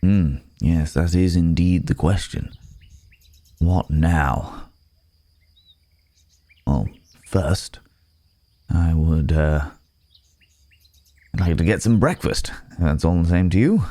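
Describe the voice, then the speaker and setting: Gentle werewolf, played by a man, ASMR roleplay: very deep, slow, polite, hesitant, apologetic. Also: dry recording. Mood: sheepish, apologetic. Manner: hesitant, halting, polite, soft chuckles, old-fashioned wording. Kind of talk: intimate ASMR audio roleplay monologue.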